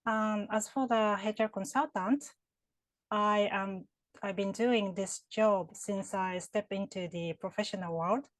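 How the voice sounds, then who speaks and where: A woman's voice: mid-range voice, fairly even intonation, measured pace, dry recording, rough recording. A woman with a Japanese accent, working in HR consulting, answers interview questions thoughtfully, with many fillers and restarts. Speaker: HR consultant and career counselor.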